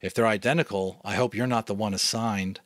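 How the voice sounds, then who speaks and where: A man's voice: fairly low pitch, steady tone, a little fast, no echo, clean studio sound, close to an everyday voice. A man voicing a tired mother who sounds weary and worried.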